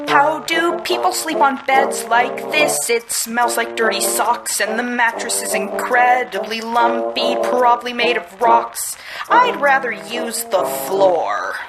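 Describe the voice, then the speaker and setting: A woman's voice: fairly high pitch, expressive, slow, creaky, full character voice, rough recording. A woman playing a hapless, anxious detective with a flustered, complaining manner in a musical adventure game. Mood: grumbling. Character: hapless, anxious, grumbling detective of ambiguous gender. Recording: music behind the voice. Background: loud music.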